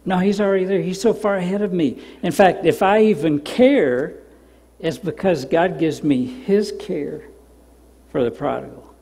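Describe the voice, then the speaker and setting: Older pastor preaching, storytelling, goes quiet on repeats, church hall reverb. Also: male voice, high voice, a little slow, creaky, husky. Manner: storytelling, drops to soft emphatic repeats. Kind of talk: sermon. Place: church sanctuary stage.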